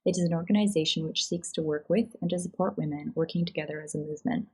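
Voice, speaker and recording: Woman academic, low voice, brisk delivery, scripted personal narration. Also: steady tone, husky, poor audio. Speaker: academic in gender and development.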